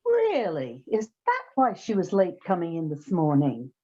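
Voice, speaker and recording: A dry, quick-witted female detective from a comic radio play, acted by a woman. Her soft, dark tone moves expressively through sarcastic comebacks, and the sound is a little dull. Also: on the low side, huge pitch swings, breathy edge, heavily acted. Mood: wry. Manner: wry, sarcastic. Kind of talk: comic old-time radio play acting. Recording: slightly dull, muffled sound.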